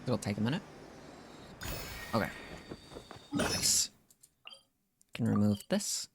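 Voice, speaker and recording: A man's voice: on the low side, very animated, slow, gravelly, background noise. A man doing casual live game commentary, talking to himself and reacting as he plays. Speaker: game streamer.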